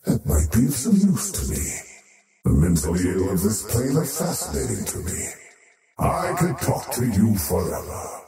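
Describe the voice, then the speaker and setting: An actor voicing an arrogant, menacing faceless void creature in a video game, with pompous, ominous and theatrical delivery. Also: male voice, mid-range voice, sing-song, moderate pace, vocal fry, distant mic. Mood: brooding. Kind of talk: theatrical game character voice lines. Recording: roomy reverb over background music.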